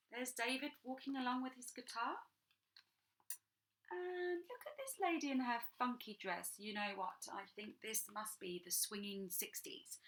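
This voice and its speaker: A woman's voice: mid-range voice, fairly bright tone. A young woman reading a picture book to children, breaking off for chatty, enthusiastic asides. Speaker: children's storytime reader.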